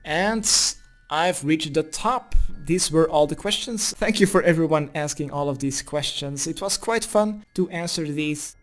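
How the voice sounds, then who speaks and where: A man's voice: on the high side, unhurried. A man in his twenties from Belgium, a Dutch speaker, doing a casual question-and-answer voice-over. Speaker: gaming video creator. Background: music.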